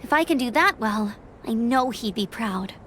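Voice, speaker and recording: A woman voicing a cheerful, earnest young female knight in a video game, chatty and expressive. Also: on the high side, moderate pace, slight background noise. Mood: wistful.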